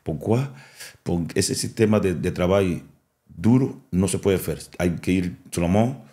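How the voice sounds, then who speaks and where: A middle-aged man with a heavy Cuban Spanish accent and a very low voice talks as a boxing coach in an interview. His tone is mellow and dark. He speaks haltingly, with restarts and repeated words. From Cuba. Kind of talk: interview, mixing French and Spanish.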